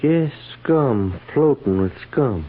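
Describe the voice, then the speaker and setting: A man playing a contemptuous frontier troublemaker with a drawn-out, taunting manner, in 1950s radio western acting. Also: mid-range voice, animated, phone-quality sound. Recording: thin band-limited old radio recording.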